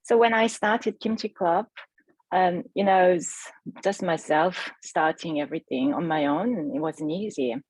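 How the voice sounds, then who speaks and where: A woman whose first language is Korean, speaking heartfelt and halting on a video call about her business. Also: lowish voice, a little mellow, husky, dry recording, rough recording. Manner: heartfelt, halting, earnest encouragement. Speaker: entrepreneur sharing her story. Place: home in Adelaide.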